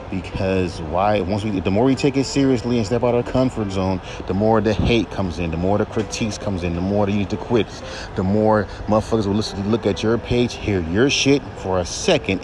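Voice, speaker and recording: A young man with a droll baritone voice, ranting bluntly and profanely on his solo podcast. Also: background noise. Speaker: podcast host and rapper.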